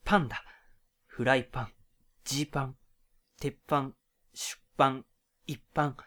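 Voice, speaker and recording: A man playing an exasperated captive contestant in a comedic radio drama, his deadpan asides flaring into loud retorts. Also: medium pitch, measured pace, close to an everyday voice. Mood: earnest, intense. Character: exasperated male captive contestant, quick with comebacks.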